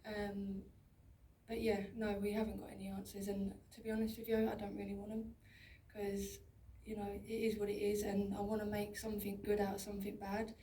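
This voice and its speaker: A woman's voice: mid-range voice, monotone, a little fast, warm tone, slight background noise. A young woman, an actress, answering audience questions on stage in plain-spoken, down-to-earth words. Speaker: lead actress at a film Q&A. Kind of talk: candid personal answers on stage. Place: large cinema auditorium, on stage. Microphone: handheld mic through the hall speakers, filmed from the audience. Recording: echoey hall, heard from far off.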